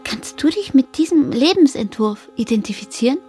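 A woman's voice: sits high, expressive, moderate pace, warm tone, soft background music. An actress in a radio drama playing a guarded, searching woman cut off from her past, restrained, firm and questioning. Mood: sceptical.

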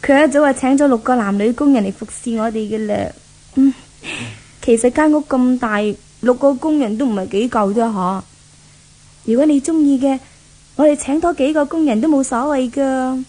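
Spoiled young heiress, coaxing, possessive, mellow timbre, fairly high voice, Hong Kong Cantonese accent, 1970s radio drama. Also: female voice, normal speed, low-quality recording. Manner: coaxing, wheedling.

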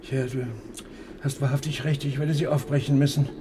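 A man's voice: mid-range voice, flat delivery, moderate pace, very noisy, close to an everyday voice. Actor as stern, bitter, ponderous father. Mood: helpless. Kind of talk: radio play acting.

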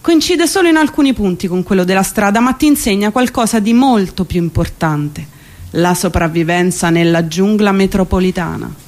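A woman's voice: mid-range voice, normal speed, darkish tone, lightly acted. A woman playing a wry guide to an urban jungle of drivers, explaining it like a documentary in a comic radio play. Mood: mock-solemn. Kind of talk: scripted comic radio theatre narration.

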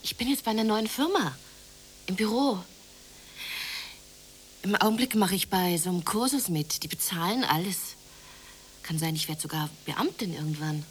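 An actress playing a lovestruck, hopeful and quietly defiant working woman in a radio play, speaking plainly with dry retorts. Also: lowish voice, expressive, moderate pace, pauses often, slight background noise, close to an everyday voice. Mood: dreamy, hopeful. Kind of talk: naturalistic radio play dialogue.

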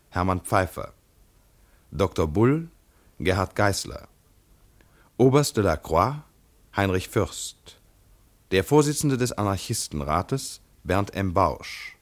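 A male announcer reading radio play credits in a measured, solemn, formal way. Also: sits low.

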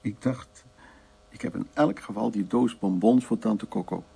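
Hard-drinking man, low gravelly voice, rambling teasing storyteller, radio drama. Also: unhurried, warm tone, exaggerated voice. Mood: reflective, storytelling. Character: evasive, hard-drinking man recounting his wartime past to his girlfriend. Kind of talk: Dutch radio drama acting.

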